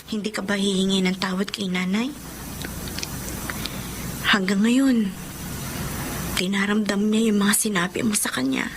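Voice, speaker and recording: An actress playing an earnest younger sister, persuasive and pleading, in a Filipino radio drama. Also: female voice, medium pitch, unhurried, long pauses, background noise, close to an everyday voice. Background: music.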